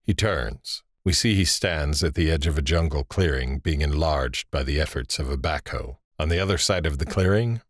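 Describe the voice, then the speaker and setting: A man narrating a scripted audio drama with deliberate, suspenseful storytelling. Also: a bass voice, no echo, studio quality.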